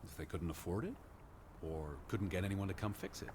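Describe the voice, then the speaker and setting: A man acting as a deep-voiced American scholar from the 1920s in a narrative game. He sounds earnest, proper and cautious, with measured phrasing and clearly audible ambient noise behind him. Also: a little mellow, close to an everyday voice. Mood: pondering. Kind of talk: naturalistic video game voice acting.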